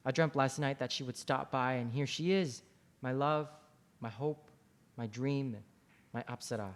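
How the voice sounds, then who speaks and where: A man's voice: medium pitch, a little slow, close to an everyday voice. A man playing a dreamy, lovestruck painter in a table read of a romantic play, with a wistful manner. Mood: lovestruck, wondering. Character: lovestruck dreamy male painter, reincarnated ancient king.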